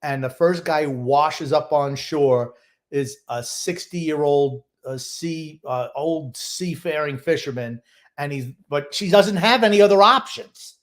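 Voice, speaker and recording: A man's voice: a little high, unhurried. An older man co-hosting a sports podcast, giving opinionated, sardonic takes in a casual, grumbling way.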